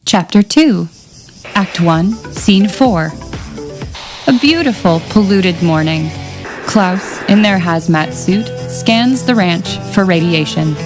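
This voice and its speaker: A woman's voice: lowish voice, animated, takes it slowly. Woman narrating an audio drama over music. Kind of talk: scripted stage-direction narration.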